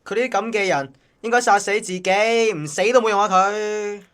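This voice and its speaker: A woman's voice: mid-range voice, moderate pace, a bit bright, clean tone, natural voice. A woman acting a blunt assistant in a radio drama, with a Hong Kong Cantonese accent. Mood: scornful.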